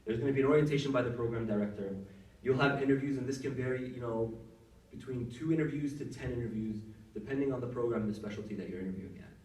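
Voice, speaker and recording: A man's voice: mid-range voice, fast, a little mellow. A young man from Saudi Arabia, a doctor giving casual advice to students, talking conversationally with many fillers and restarts. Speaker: workshop speaker, doctor. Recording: echoey room, mic at a distance.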